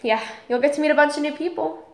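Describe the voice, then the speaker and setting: A woman's voice: high-pitched, fast talker. A woman playing a caring, curious teenage girl in an audio drama.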